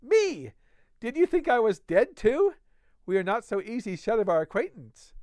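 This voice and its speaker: A man reading a storybook role of a rough, unsavory drunkard, bitter, scornful and loudly declamatory. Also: high-pitched, very animated, moderate pace, no echo, pitched above the performer's own voice. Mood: mocking, defiant. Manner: loud, declamatory.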